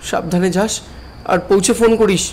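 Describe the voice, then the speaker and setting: Brief: man playing a fretful cartoon younger brother, high voice, pleading and affectionate, faint hiss behind. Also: steady tone, a little fast, creaky, darkish tone, low-quality recording. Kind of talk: Bengali cartoon voice acting.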